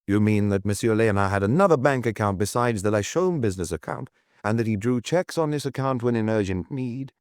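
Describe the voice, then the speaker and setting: Man reading as a gruff, dogged low-voiced detective inspector. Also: expressive, brisk, a little mellow, dry recording, clean studio sound, natural voice. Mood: probing. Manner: probing, testy, blunt questioning. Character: male police chief inspector, gruff, dogged, skeptical questioner. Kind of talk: audiobook character dialogue.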